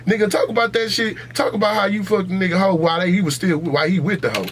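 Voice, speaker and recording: Podcast co-host: American male, a bit high-pitched, profane and insistent, some background noise. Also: quick pace. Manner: blunt, emphatic, repetitive. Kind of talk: casual, profane podcast banter.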